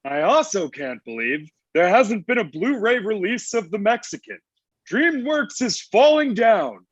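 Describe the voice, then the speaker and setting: Guy doing sulky old santa, high husky voice, dull lo-fi recording. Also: sing-song, measured pace, no echo, full character voice. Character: sulky, stubborn old Santa Claus, lovesick and defeated.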